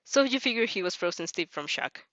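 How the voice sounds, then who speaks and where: A woman narrating fan fiction as an amateur, excitable and giggly, stumbling over words and reacting as she goes. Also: medium pitch, fairly quick, no room sound, muffled recording.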